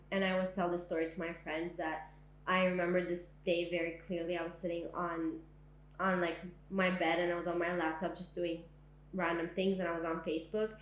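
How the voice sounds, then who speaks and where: Young woman from syria telling her story, kinda low voice, lots of "like" and "um", echoey room. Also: a little hiss or hum. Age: young adult. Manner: reflective, frequent "like" and "um". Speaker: interviewee. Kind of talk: oral history testimony. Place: indoors, living room.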